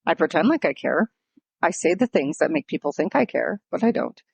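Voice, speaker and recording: A woman's voice: deep voice, sing-song, a little fast, vocal fry, a little mellow, slightly rough, no echo. A woman co-hosting a casual podcast, explaining and clarifying points in conversation. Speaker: podcast co-host.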